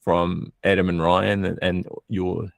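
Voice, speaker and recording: A man's voice: deep voice, flat delivery, slow, creaky, no room sound. A man co-hosting a casual live stream, talking in a hesitant, rambling, thoughtful way. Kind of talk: casual chat.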